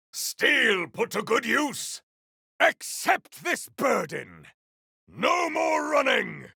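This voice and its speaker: A bombastic video game warrior, pitched very high for a male voice and full of rasping grit. The delivery is grandiose and theatrical, swinging widely in pitch as battle cries ring out with grim relish. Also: sharp tone, dry recording, clean studio sound, heavily acted. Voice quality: gravelly, rough-edged. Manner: grandiose, theatrical, formal uncontracted speech. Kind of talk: video game combat barks.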